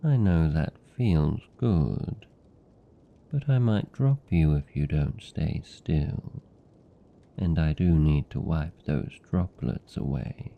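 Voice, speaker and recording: A man voicing a possessive, doting vampire captor in an intimate ASMR roleplay, with a British accent and a slow, murmured, coaxing delivery. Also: gravelly, exaggerated voice. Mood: doting, soothing. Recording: muffled, rumbly, faint background noise.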